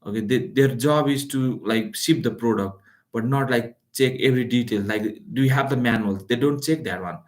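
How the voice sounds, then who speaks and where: A man's voice: medium pitch, normal speed. Man explaining with many fillers. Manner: loose, repetitive, with many fillers and restarts. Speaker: online business instructor. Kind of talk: live-streamed how-to talk. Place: lives in Calgary.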